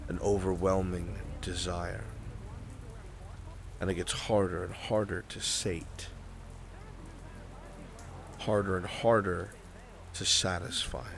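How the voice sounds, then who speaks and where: Low slow solemn mage mentor guy, acted by a man, fantasy rp, rain in the background. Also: gravelly, noisy background, full character voice. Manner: slow, measured, solemn, speaking in weighty repetitions. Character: grave male mage mentor warning of magic's dangers. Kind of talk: improvised fantasy roleplay acting.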